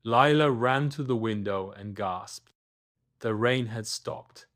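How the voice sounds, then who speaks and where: Slow-paced man narrating a bedtime story. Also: lowish voice, fairly bright tone.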